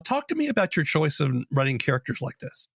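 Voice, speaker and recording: Male podcast host, very dry close sound, explains things in a measured chatty way. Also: a little high, big pitch swings, creaky, a bit bright, slightly rough, no room sound. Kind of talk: conversational podcast interview.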